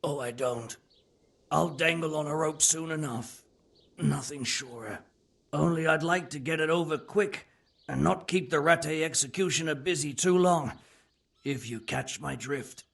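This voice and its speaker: A gravelly bandit prisoner in a video game, his voice husky and rough-spoken. He is world-weary and wry, talking like a man resigned to his fate. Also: fairly high pitch, unhurried, a bit bright, full character voice. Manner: rough colloquial speech with ain't and lad. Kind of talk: dramatic video game dialogue.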